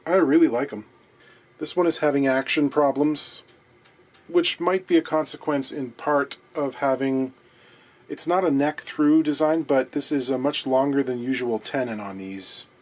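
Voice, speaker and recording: A man hosting his guitar repair vlog from his workshop, talking in a wry, chatty, digressive way. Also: mid-range voice. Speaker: guitar repair luthier and channel host.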